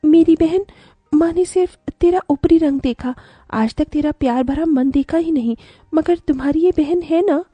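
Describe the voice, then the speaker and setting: Woman voicing a high-pitched, tender young bride. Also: normal speed, warm tone, dry recording, faint music behind, natural voice. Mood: tender, reassuring. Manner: tender, emphatic, melodramatic. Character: kind young bride, fiercely protective loving sister.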